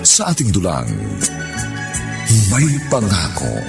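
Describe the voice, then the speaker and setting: A man's voice: sits low, wildly swooping pitch, slow. A man with a Filipino accent announcing a radio drama in a scripted, dramatic narration style. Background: loud music.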